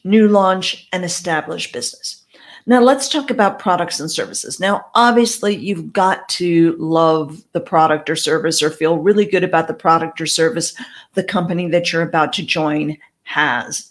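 A woman with English as her first language, giving direct, conversational advice as a network marketing coach. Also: on the low side. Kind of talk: advice talk to camera.

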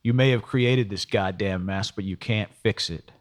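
A man's voice: fairly low pitch, normal speed, natural voice. A man voicing an angry, exasperated male police detective in an audiobook, scolding with profane rhetorical questions.

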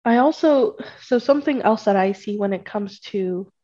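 A woman's voice: mid-range voice, normal speed, warm tone. Woman podcast host with a stuffy, congested voice, chatty and reassuring, recorded on slightly dull lo-fi audio. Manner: chatty, often checking in with "right?" and "okay?". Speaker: podcast host and spiritual life coach. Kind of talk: casual solo podcast talk. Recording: dull lo-fi sound.

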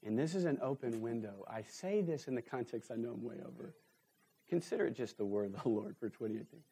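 A man preaching a sermon as pastor and leading a closing prayer, fervent, swinging from emphatic peaks to hushed prayer. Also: mid-range voice, animated, fast talker, vocal fry, breathy edge. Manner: fervent, rising to emphatic peaks then dropping to quiet prayer. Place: church sanctuary.

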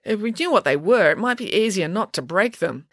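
A woman's voice: medium pitch, animated, a little fast, dry recording, studio quality, pitched above the performer's own voice. A woman voicing an officious small-town police constable, firm, reproving and matter-of-fact.

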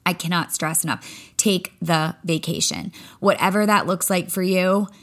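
A young adult woman hosts her own podcast, chatting candidly and casually. Her voice sits a little low, and she swears freely. She acts out her own inner thoughts as she goes. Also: steady tone, a little slow, a bit bright. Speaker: podcast host, spin instructor. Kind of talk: casual solo podcast monologue.

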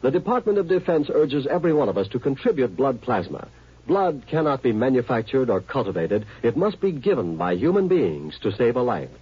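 A man delivers an earnest, formal announcement in 1950s radio style. His voice is very rough-edged and moves widely in pitch. The vintage recording sounds muffled. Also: big pitch swings, fairly quick. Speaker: public service announcer.

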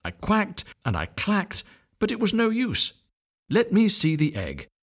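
A man voicing an old visiting duck who gives advice slowly and knowingly. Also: sits high, huge pitch swings, pitched above the performer's own voice, full character voice. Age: older. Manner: slow, knowing, drawn-out.

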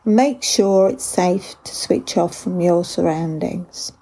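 Very slow, soft woman guiding meditation. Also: lowish voice, slightly rough, rough recording. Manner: gentle, unhurried instructions with long pauses. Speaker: guided meditation instructor. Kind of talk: guided body scan meditation.

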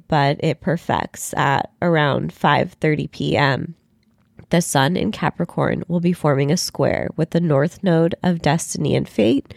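A low-pitched woman whose hoarse voice sounds gravelly and strained. She talks through a daily astrology podcast in a chatty, informal way. The recording is close and dry. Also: a little mellow, dry recording. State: hoarse, losing her voice. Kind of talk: solo podcast horoscope reading.

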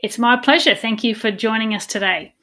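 A woman's voice: fairly high pitch, fairly even intonation, a little fast, sharp tone. A woman hosting a podcast, interviewing in a conversational, polite and encouraging way. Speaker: podcast host.

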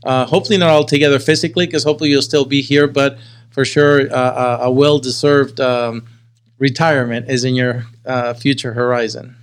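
A man's voice: mid-range voice, level tone, clean tone. A man hosting a podcast, interviewing casually and conversationally, often repeating words as he forms his questions. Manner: halting, repeats words while forming questions. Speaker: podcast host.

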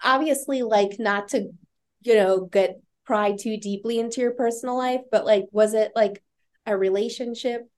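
A woman's voice: a little high, normal speed, darkish tone. A woman hosting a podcast, asking casual questions full of "like" and "you know". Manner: hesitant, filler-heavy questions. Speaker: podcast host.

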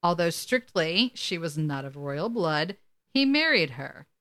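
A woman's voice: fairly low pitch, very animated, a little slow, a bit bright. A woman co-hosting a casual podcast, reading articles aloud and dropping in wry asides. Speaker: podcast co-host.

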